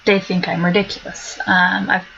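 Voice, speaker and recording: A woman's voice: on the low side, flat delivery, moderate pace, creaky, sharp tone, dull audio, a little hiss or hum. A woman with a blended American and Australian accent, talking casually in a monologue with many ums and restarts. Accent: mixed American-Australian. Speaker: vlogger.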